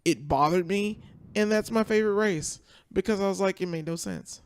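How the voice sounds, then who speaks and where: A man's voice: high-pitched, moderate pace, clear, resonant tone. A man talking casually as a podcast guest, emphatic and repeating phrases for stress. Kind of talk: casual chat.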